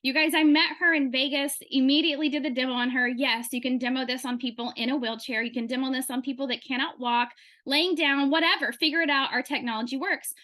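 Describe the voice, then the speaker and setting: A woman's voice: sits high, steady tone, quick pace, pushed and bright. A woman on a video call telling a testimonial story emphatically, in punchy, insistent short sentences. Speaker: meeting participant sharing another member's testimonial.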